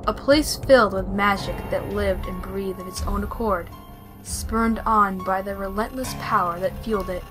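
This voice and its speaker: A woman's voice: mid-range voice, a bit bright, rough recording. A woman reading scripted, descriptive fantasy narration for an audio play.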